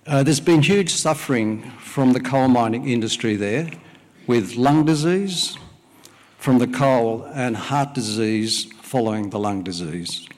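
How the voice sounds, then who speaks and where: A man's voice: mid-range voice, darkish tone. Welsh-born man preaching in an echoing hall. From Wales. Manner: plain, unhurried, with frequent "uh" pauses. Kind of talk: sermon with prayer. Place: church service. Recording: long hall reverb.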